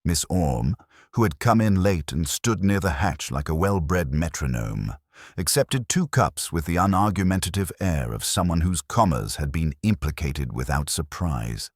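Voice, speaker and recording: A man giving a measured, wry, literary narration of a genteel mystery story as a solo storyteller. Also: extremely low pitch, big pitch swings, no room sound, clean studio sound.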